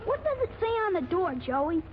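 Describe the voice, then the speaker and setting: An earnest young schoolchild with an extremely high little voice. The delivery is innocent and literal, in the manner of 1940s radio comedy. The sound is dull and dated. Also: very animated, moderate pace, noisy background. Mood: curious, innocent. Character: earnest, naive young schoolchild petitioning the government. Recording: muffled 1940s radio broadcast.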